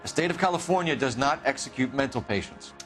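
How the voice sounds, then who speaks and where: A man's voice: medium pitch, animated, fast, fairly bright tone, faint music behind, lightly acted. Man playing an earnest, firm American police detective. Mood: firm, accusing. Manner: firm, clipped, formal questioning. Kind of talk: video game cutscene acting, police interrogation.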